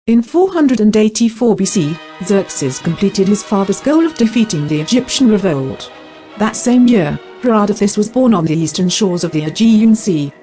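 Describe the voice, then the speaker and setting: A woman giving scripted documentary narration in a clear, explanatory way. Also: mid-range voice, animated, normal speed, warm tone, soft background music.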